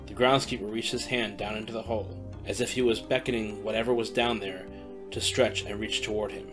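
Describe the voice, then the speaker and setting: A man narrates an audio drama in a lowish voice with a steady, descriptive delivery. Guitar music plays clearly behind him throughout. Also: brisk. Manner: even, descriptive storytelling. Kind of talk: scripted narration.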